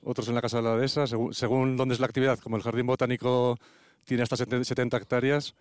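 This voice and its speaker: A male educator from a botanical garden, speaking plainly and practically with the odd restart. His voice is smooth and resonant, recorded close and dry. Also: mid-range voice, little pitch movement, quick pace, no room sound. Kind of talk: guest in conversation.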